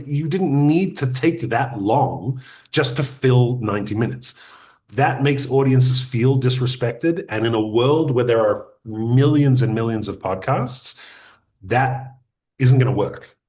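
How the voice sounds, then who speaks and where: Man giving an unhurried workshop talk. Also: medium pitch. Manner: deliberate, uses pauses and restarts; addresses audience directly with practical advice. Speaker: podcasting workshop speaker. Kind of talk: conversational teaching talk.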